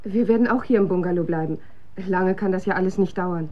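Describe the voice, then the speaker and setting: A woman's voice: lowish voice, fairly quick, lo-fi sound, slight background noise, close to an everyday voice. A woman in a German radio drama playing an anxious, sceptical young woman, earnest and questioning. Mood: uneasy.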